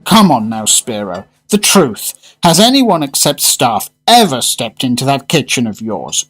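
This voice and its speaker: A man's voice: medium pitch, very animated, unhurried, a bit bright, breathy edge, exaggerated voice. An actor playing a genial, teasing man who is a regular diner, urbane and chummy, in a radio drama.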